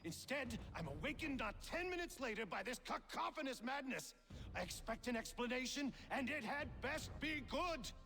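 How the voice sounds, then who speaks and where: A pompous slave-trading commander in a game cutscene, enraged and imperious. His voice is extremely high and piercingly bright, with sweeping theatrical swings, ringing in a long reverberant hall. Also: very animated, moderate pace, exaggerated voice. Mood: outraged. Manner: pompous, theatrical, ornate orders. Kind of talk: video game cutscene acting.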